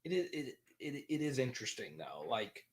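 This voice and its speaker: A man's voice: mid-range voice, big pitch swings, normal speed, background noise. A man in his thirties hosting a sports podcast livestream, chatting casually as he reads viewer comments, laughing often and tripping over restarts. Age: 30s.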